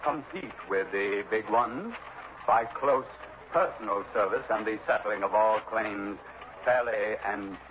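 A man's voice: fairly low pitch, a little slow, lightly acted. Muffled old radio drama, actor as fretful insurance exec guy, wordy, stammers a bit, faint hiss. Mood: explaining, proud. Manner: hesitant, wordy, emphatic repetition. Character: earnest, fretful insurance company executive, male. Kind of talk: 1960s radio drama acting.

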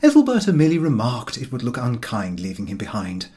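A man reading a comic literary story as an audiobook, in an expressive, scripted narration. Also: medium pitch, fast, slightly rough. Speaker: audiobook reader.

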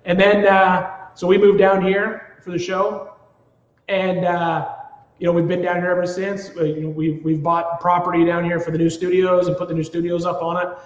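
A middle-aged man from Ontario chats loosely as a guest, tripping over and repeating words. His voice sits high for a man. The audio is roomy, with a long reverb tail. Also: level tone, normal speed, lo-fi sound. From Ontario, Canada. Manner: rambling, repeats words, easygoing. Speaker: guest, TV producer. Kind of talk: casual interview chat.

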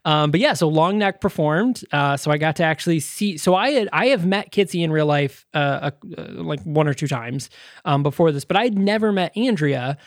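Halting, higher-voiced man in casual chat. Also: expressive, no echo, clean studio sound. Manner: halting, self-interrupting, sweary. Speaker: podcast co-host.

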